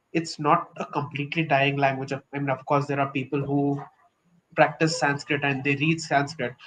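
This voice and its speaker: A man's voice: fairly high pitch, a bit bright, a little hiss or hum, rough recording. A young man from India with an Indian English accent, earnestly explaining his views in a casual podcast discussion. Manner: earnest and opinionated, explains with examples.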